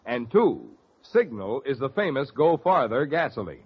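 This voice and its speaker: A male radio announcer delivering a polished, emphatic commercial pitch in 1940s style. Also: very animated, measured pace, breathy edge. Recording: dull vintage radio recording.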